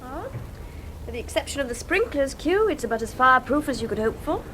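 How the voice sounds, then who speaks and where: Casting: friendly secretary, high, lilting voice, mid-century radio drama. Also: female voice, sing-song, brisk, fairly bright tone, slight background noise, rough recording. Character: bright, friendly female secretary to investigator.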